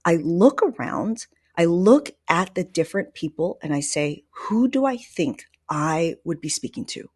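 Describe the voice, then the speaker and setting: A woman's voice: on the low side, animated, unhurried, hardly any pauses, a little mellow, breathy edge. A woman hosting a podcast, talking casually to listeners and her guest in an enthusiastic, emphatic way. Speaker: podcast host.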